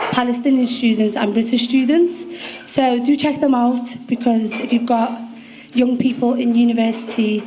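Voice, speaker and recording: A woman's voice: a little high, mellow, rough recording. Earnest Liverpool woman in her twenties. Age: 20s. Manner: earnest, with fillers. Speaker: guest poet. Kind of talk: speaking to an event audience.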